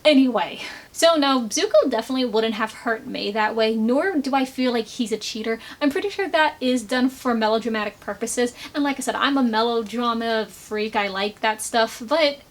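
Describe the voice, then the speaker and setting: A woman's voice: on the high side, normal speed. A woman giving casual, opinionated fan commentary, with an emphatic, exasperated ranting manner. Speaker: video essayist, fan commentator.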